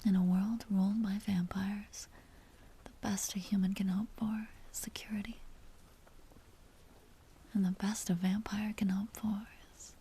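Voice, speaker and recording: A woman playing a seductive, possessive vampire duchess in an intimate audio roleplay, teasing and genteel in a breathy whisper. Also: medium pitch, level tone, dark tone. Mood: tender, soft. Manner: slow, teasing, genteel and commanding.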